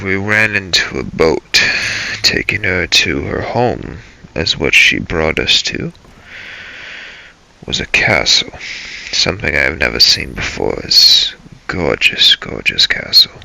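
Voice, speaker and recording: A man tells a first-person adventure story over gameplay. His voice is very bright and forceful with heavy fry, and he moves slowly, in broken phrases. There is faint noise behind him. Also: deep voice, sing-song, rough recording. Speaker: video maker narrating a game role-play.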